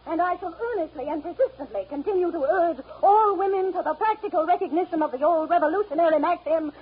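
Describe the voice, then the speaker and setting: An actress playing an indignant women's suffrage campaigner with emphatic, formal oratory in 1930s radio drama style. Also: female voice, extremely high pitch, fast talker. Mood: indignant, oratorical. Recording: muffled, noisy 1930s radio recording.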